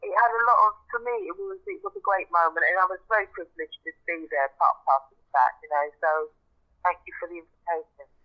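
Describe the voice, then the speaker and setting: A woman's voice: lowish voice, animated, fast talker. A woman speaking as a guest in a casual interview over a phone call, effusive and appreciative, repeating words for emphasis. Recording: dull telephone call sound.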